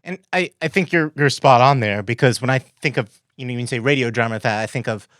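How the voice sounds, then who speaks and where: A man's voice: medium pitch, fast, a bit bright, dry recording, clean studio sound. A man hosting a casual interview podcast, tentatively rephrasing his questions and thoughts as he goes.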